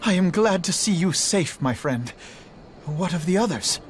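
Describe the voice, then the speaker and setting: Earnest, high-voiced young leader. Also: male voice, lively intonation, moderate pace, a little hiss or hum. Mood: relieved concern. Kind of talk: dramatic video game voice acting.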